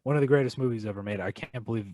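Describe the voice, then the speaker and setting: A man's voice: mid-range voice, racing, darkish tone, dry recording, clean studio sound. A man co-hosting a film podcast in casual chat. He is wry and self-mocking and halts often on fillers. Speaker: podcast co-host.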